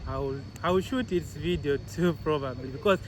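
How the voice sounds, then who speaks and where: A man's voice: fairly high pitch, fairly quick. A man in his thirties from Uganda, a reggae artist answering interview questions and laughing often. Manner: laughs often, animated, repeats himself. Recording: outdoor street noise.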